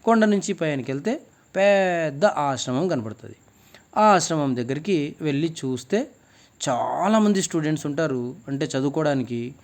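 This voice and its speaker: A man's voice: on the high side, lively intonation, normal speed. A man telling a children's moral story informally, explaining as he goes and mixing in English words. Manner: conversational, explanatory, mixes in many English words.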